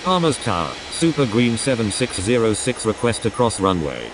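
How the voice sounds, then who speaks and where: Man doing airline pilot radio calls, low voice, formal n scripted, loud drone behind. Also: sing-song, moderate pace. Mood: procedural, neutral. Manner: formal, procedural. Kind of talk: scripted aviation radio phraseology. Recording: noisy radio with engine-like drone.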